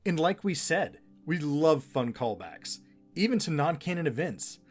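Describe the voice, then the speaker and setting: A man's voice: fairly high pitch, big pitch swings, unhurried, faint music behind. A man giving scripted back-and-forth commentary as co-host of a fan show.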